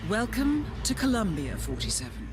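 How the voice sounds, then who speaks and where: An actress playing a composed female intelligence handler in a game, giving a measured, articulate, formal mission briefing. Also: medium pitch, big pitch swings, noisy background, lightly acted. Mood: composed, grave. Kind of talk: scripted mission briefing narration. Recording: loud music under the voice. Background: loud music.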